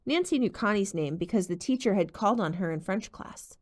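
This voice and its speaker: A woman's voice: medium pitch, lively intonation, brisk, darkish tone. A woman reading a novel aloud as an audiobook narrator, telling the story expressively. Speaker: audiobook reader.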